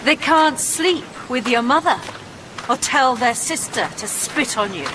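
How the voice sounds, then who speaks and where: A woman's voice: high voice, lively intonation, unhurried. A woman playing a brash, mischievous young woman in a radio drama, breezy, teasing and bossy. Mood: wry, bitter. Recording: noisy atmospheric sound behind.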